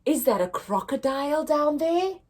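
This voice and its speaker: A woman's voice: high voice, expressive, normal speed, darkish tone, a little hiss or hum, voice pushed up. A woman voicing nosy, blunt young children in a storybook, pestering with wide-eyed questions. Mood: friendly.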